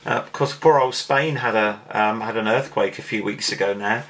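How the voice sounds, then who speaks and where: A man's voice: fairly low pitch, moderate pace, gravelly, fairly bright tone, poor audio. A middle-aged man with an English accent, chatting in an easygoing way over amateur radio. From England. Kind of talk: casual radio contact chat.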